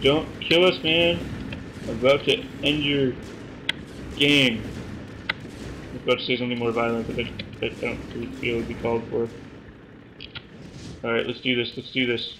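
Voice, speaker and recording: A young man from Canada giving joking, self-mocking commentary over his own gameplay at home, now and then shouting at the screen. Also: medium pitch, steady tone, normal speed, long pauses, noisy background. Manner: joking, self-mocking, bursts of yelling at the game. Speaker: gaming YouTuber. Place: small room at home.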